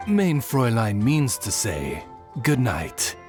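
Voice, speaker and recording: A man's voice: medium pitch, huge pitch swings, slow pace, a little mellow, faint music behind. A man voicing a courteous, formal familiar who serves a princess in a video game, with a stately, polite delivery. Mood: courtly. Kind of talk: video game cutscene acting.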